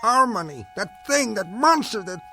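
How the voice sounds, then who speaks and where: An actor playing a paranoid, obsessed gambler husband in a radio drama, intense and given to stammering repetitions. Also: male voice, high-pitched, very animated, normal speed, pushed and bright, soft background music, heavily acted. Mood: outraged. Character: obsessed, paranoid gambler husband, grimly stubborn then panicked.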